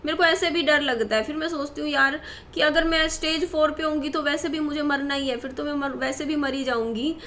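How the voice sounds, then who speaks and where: A woman's voice: high-pitched, fairly even intonation, brisk, few pauses, fairly bright tone, slight background noise. A woman in her thirties talking to her viewers in a worried, rambling way, with many fillers and restarts. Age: 30s. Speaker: vlogger. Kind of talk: personal talk to camera.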